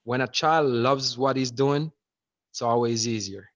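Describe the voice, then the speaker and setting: A man's voice: medium pitch, measured pace, a bit bright, no echo. A man speaking earnestly on a phone video call as host, often repeating words as he speaks. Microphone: phone held close on a video call. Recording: muffled phone-call audio.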